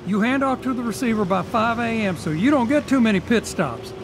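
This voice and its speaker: A high-pitched, businesslike male trucking dispatcher in an audio drama. He talks briskly and insistently, over loud ambient vehicle noise. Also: lively intonation. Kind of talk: naturalistic audio drama dialogue. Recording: noisy ambience with vehicle sounds.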